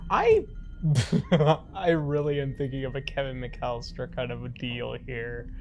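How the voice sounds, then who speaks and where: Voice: mid-range voice, expressive, a little slow, slight background noise. A tabletop role-playing player chatting casually in a blunt, sweary way. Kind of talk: casual game chat.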